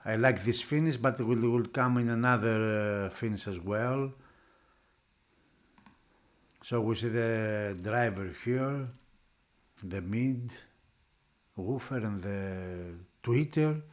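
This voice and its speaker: A man who is not a native English speaker, narrating a product unboxing off the cuff in halting phrases. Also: lowish voice. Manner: halting, piecing phrases together. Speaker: product reviewer.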